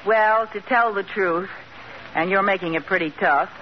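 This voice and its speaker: An actress playing a boastful, fibbing housewife in an old radio comedy, bluffing breezily with comic hesitations. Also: female voice, mid-range voice, moderate pace, close to an everyday voice. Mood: breezy bluffing. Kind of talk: 1940s radio comedy acting. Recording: noisy, dull old radio recording.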